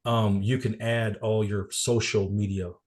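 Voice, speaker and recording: A man's voice: lowish voice, flat delivery, a little mellow. Congested man presenting on a video call. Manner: rambling, halting, many fillers and restarts. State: congested from a cold, coughing. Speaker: guest presenter at a networking meeting. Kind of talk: casual business presentation.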